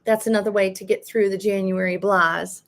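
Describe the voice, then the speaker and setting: An older woman hosting a live coaching podcast, chatting casually with ums as she reads articles aloud, her voice a little raspy. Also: mid-range voice, fairly even intonation, normal speed. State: slightly raspy voice. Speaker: life coach and podcast host. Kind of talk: live-streamed podcast, reading articles and greeting chat.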